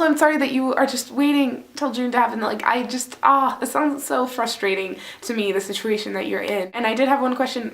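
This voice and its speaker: A young woman from France, chatting casually to camera at home in a bubbly, talkative way. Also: high-pitched. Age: young adult. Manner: bubbly, chatty, quick bursts of excitement. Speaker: vlogger.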